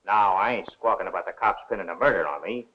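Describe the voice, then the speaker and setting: An actor playing a gruff, aggrieved crook in a 1930s film, talking in tough, ungrammatical slang. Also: male voice, low voice, quick pace, close to an everyday voice. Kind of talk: 1930s Hollywood film acting. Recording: dull 1930s film soundtrack, faint noise.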